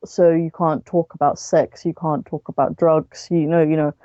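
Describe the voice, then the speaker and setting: Very mellow, dark-voiced British woman. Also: low voice, flat delivery, dry recording, highs cut off. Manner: halting, frequent "like". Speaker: podcast guest. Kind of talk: casual interview storytelling.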